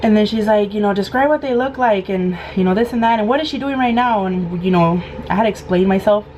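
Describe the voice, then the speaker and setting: Hoarse woman telling a story. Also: medium pitch, fast, dull audio, slight background noise. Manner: animated, acts out quoted lines. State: hoarse from a sore throat. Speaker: vlogger. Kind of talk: casual storytime.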